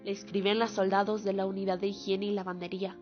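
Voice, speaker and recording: A woman acts out a solemn female veteran bearing witness in a radio play. Her pitch is average for a woman. Faint music runs behind the slightly dull recording. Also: level tone, fast, natural voice. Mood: formal greeting. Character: female war veteran, solemnly testifying to atrocities. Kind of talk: dramatic radio monologue. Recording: muffled lo-fi audio under music.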